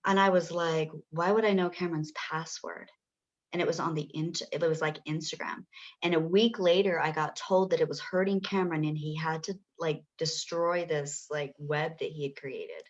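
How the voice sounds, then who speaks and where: A woman guest on a podcast, telling stories in excitable, gushing bursts with casual slang. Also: deep voice, moderate pace, muffled recording. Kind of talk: casual spiritual chat.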